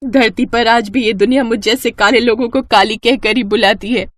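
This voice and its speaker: A woman voicing a gentle young bride who is hurt about her dark skin. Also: a little high, fairly even intonation, normal speed, no room sound, lightly acted. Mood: sad.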